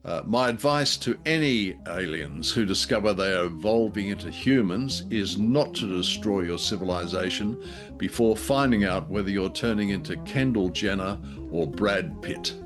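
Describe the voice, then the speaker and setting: A man's voice: deep voice, expressive, normal speed. A man giving casual review commentary as a podcast co-host. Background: faint music.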